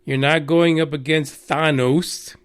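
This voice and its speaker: A man's voice: fairly high pitch, fairly even intonation, slow pace, clean tone. A Texan man hosting a radio news show, rambling and mocking with plenty of swearing and filler words. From Texas. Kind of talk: profane rambling news commentary.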